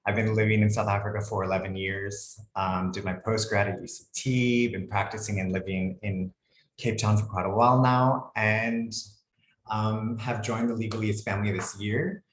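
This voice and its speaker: A man with an American accent, a lawyer presenting a webinar in a relaxed, conversational way. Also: on the low side, moderate pace, echoey room, slight background noise, poor audio. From United States. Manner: relaxed, conversational, frequent ums and "cool".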